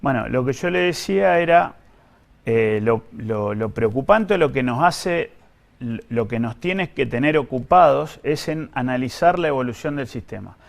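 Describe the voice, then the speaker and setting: A man with an Argentine accent speaking as an official in an interview, explaining things in a careful, measured, technical way. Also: medium pitch, animated, gravelly. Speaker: government pension official being interviewed.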